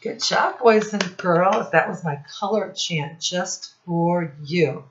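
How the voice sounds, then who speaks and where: A woman teacher speaking to young children in a singsong instructional style, with simple phrases and deliberate repetition. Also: fairly low pitch, reverberant. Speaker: children's teacher and read-aloud host. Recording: dull, lo-fi sound.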